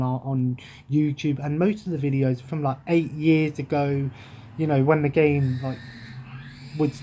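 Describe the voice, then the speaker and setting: A man's voice: medium pitch, dark tone, a little hiss or hum. A young man with an English accent, chatting loosely to his webcam from a sofa at home, wandering off track and restarting his sentences. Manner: rambling, absent-minded, many restarts. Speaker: gaming vlogger. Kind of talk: casual chat to camera. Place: living room at home, sofa. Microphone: webcam at close range.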